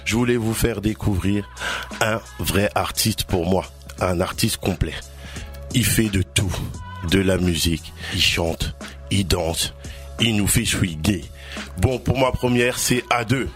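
A man hosting a casual interview, enthusiastic and full of quick affirmations. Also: on the low side, soft background music. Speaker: host.

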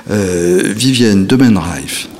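A man hosting an interview who talks haltingly, with many restarts and fillers. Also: low voice, a little mellow, slight background noise. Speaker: host. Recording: long reverb.

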